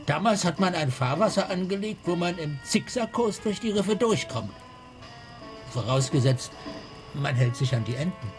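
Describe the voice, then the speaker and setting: A man's voice: a little high, animated, normal speed, over music, natural voice. An actor in a radio crime drama playing a terse, hot-headed boatman with a matter-of-fact delivery. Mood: terse, grim.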